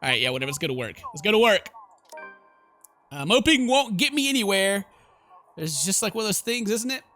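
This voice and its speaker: A man's voice: sits high, very animated, brisk, pauses often, bright, forceful tone, soft background music. A man hosting a horror game let's play, reading the story aloud and reacting in a theatrical, exclamatory way. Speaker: gaming video host.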